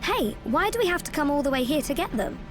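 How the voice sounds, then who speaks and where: A woman's voice: sits high, sing-song, fast talker. An actress voicing a spirited, inquisitive young woman in a video game cutscene, speaking in a direct way. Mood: curious. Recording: music behind the voice. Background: music.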